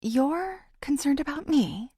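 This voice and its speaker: A woman's voice: high-pitched, expressive, slow pace, warm tone, no room sound, clean studio sound, pitched above the performer's own voice. A woman voicing an anxious, caring female podcaster heroine, earnest and tense, in an audiobook. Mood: concerned.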